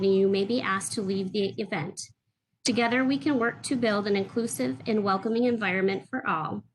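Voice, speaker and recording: A woman opens an event by reading a formal conduct statement at a measured pace. Her pitch is middling, with faint noise under her voice. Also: rough recording. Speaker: event host.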